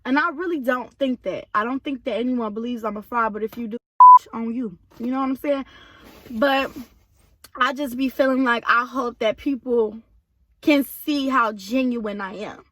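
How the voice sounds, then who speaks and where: A woman vlogger, slightly hoarse, chatting casually and directly to her viewers. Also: on the high side, normal speed, fairly bright tone, slight background noise, poor audio.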